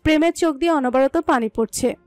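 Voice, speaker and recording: A woman's voice: sits high, a little fast, darkish tone, no room sound. A woman reading scripted dramatic fiction as a story narrator.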